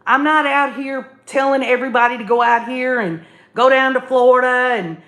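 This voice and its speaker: A woman with a slightly high voice hosts an opinionated livestream. She talks straight to her listeners in an emphatic, folksy way, often repeating a phrase to drive it home. The room around her voice is clearly echoey. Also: moderate pace, fairly bright tone. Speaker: livestream host commentator. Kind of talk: opinionated live commentary, reading viewer comments.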